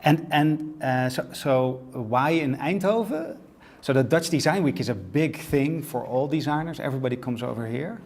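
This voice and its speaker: A man's voice: medium pitch, moderate pace, darkish tone. A man hosting a podcast interview at a design event, with a mid-range voice. He sounds eager and chatty. He often trips over his words and repeats himself. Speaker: podcast host. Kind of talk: casual interview talk. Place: design exhibition venue.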